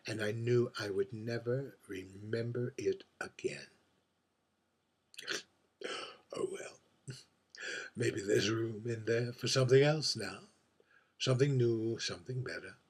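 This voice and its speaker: A man performing a dramatic monologue as a lonely, heartbroken drag queen drinking at a bar, speaking in a halting, wistful, confiding way. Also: lowish voice. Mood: pensive. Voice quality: husky, breathy. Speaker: writer reading own monologue.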